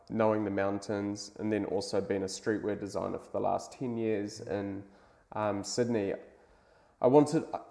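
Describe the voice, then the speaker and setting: A man in his thirties from New Zealand with a New Zealand accent, chatting casually as an interview guest at home, with many "um"s and "you know"s. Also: lowish voice. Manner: relaxed, lots of "um" and "you know". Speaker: guest, brand founder. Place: living room at home.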